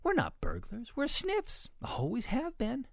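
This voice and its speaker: A storybook reader voicing a prim, motherly mama pig who scolds gently and snuffles, fairly soft-spoken. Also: high voice, wildly swooping pitch, measured pace, creaky, no room sound, studio quality, pitched above the performer's own voice, full character voice. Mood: gently chiding. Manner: snorting, motherly, soft-spoken next to the others.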